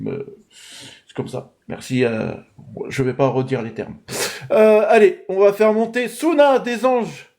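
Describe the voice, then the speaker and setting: Male live-stream host, high voice, chatty, runs a quiz for callers. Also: dark tone. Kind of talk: casual live-stream chat.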